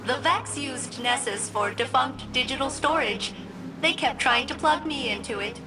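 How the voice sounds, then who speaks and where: A woman's voice: high-pitched, normal speed, over music, natural voice, low-quality recording. A woman voicing a chirpy, formal and cheerfully blunt female ship AI in a video game, with precise phrasing. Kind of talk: video game character acting.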